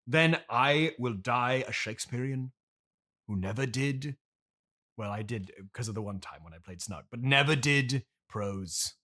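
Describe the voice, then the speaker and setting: A man's voice: medium pitch, expressive, measured pace, pushed and bright. A man playing a pompous, aggrieved classically trained stage actor in a comic mock interview, theatrical and self-important. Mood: defensive. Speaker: interview guest. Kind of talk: improvised mock interview comedy.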